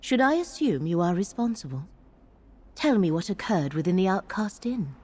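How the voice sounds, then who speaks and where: A woman's voice: lowish voice, big pitch swings, normal speed, darkish tone, slightly rough. An actress playing a grave female scholar in a fantasy game, speaking formally and precisely with composure. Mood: concerned, inquiring.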